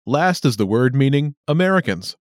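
A man hosting a scripted language lesson with clear, upbeat announcer delivery. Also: mid-range voice, very animated, moderate pace, dry recording, studio quality. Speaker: lesson host.

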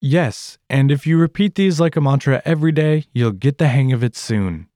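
A man with an American accent hosting a scripted language lesson podcast in an upbeat, expressive way. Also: mid-range voice, normal speed, no echo, studio quality. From United States. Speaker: podcast host.

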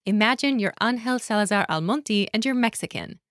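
A woman narrating a scripted language lesson in a clear, measured, instructional way, recorded close to a studio mic. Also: medium pitch, expressive, a bit bright, no echo. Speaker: course narrator.

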